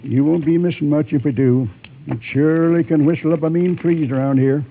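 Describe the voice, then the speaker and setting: An actor playing a chatty, unflappable frontier gunsmith with a folksy American accent in an old radio western. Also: male voice, a little high, steady tone, phone-quality sound, lightly acted. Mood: friendly, chatty. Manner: folksy, plain-spoken, drawn-out homespun phrasing. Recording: thin, faintly noisy old radio broadcast.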